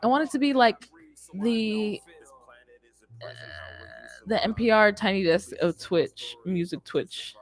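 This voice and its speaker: A woman's voice: mid-range voice, animated, slow pace, pauses often. A young woman hosting a radio show, chatting casually and informally in a loose, rambling way with plenty of slang. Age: young adult. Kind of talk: casual podcast chat. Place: indoor studio with curtains. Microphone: close studio microphone on boom arm, headset on.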